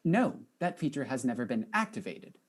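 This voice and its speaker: A woman playing a polite, earnest robot chief with formal, measured speech in a comedic audio drama. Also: a bass voice, very animated, slightly rough, full character voice.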